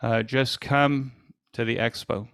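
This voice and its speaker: A man with a gravelly voice answering questions informally as a presenter, explaining things with frequent hesitant pauses. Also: mid-range voice, fairly even intonation, a bit bright, no room sound, clean studio sound. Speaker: presenter and podcast host.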